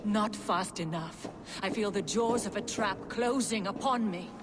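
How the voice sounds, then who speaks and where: An actress playing a proud, indignant Norse goddess in a video game, accusing and formal in manner. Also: female voice, medium pitch, measured pace, close to an everyday voice. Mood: anxious urgency. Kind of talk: video game cutscene acting. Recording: hall reverb, noisy ambience behind.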